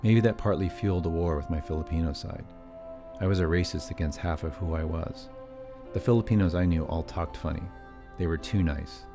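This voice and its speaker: A man's voice: low-pitched, fairly quick, music in the background. A middle-aged man from California narrating his own family story in scripted documentary style, with wry, self-deprecating asides.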